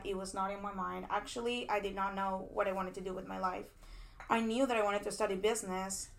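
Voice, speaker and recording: A young woman from Peru, a PhD student guest, chatting casually and telling her story with many likes and restarts, recorded at a conference. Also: medium pitch. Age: young adult. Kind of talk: casual interview. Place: conference venue.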